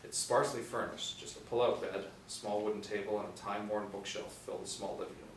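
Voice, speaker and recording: A man's voice: lowish voice, steady tone, fairly quick. A middle-aged man reading scripted stage directions as the narrator. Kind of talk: scripted narration at a table read. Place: small indoor room, seated reading. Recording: echoey room, distant mic, faint noise.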